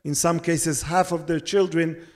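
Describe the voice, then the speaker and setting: A man on a discussion panel, answering in a measured, explanatory way with hesitant fillers. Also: a little high, level tone, a little mellow. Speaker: panel speaker.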